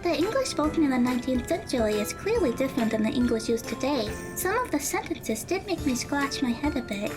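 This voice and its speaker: Over background music, a woman plays a studious teenage girl with a very high, animated voice. She is earnest and encouraging, choosing her words precisely and formally, in the manner of an audio drama. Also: brisk, few pauses.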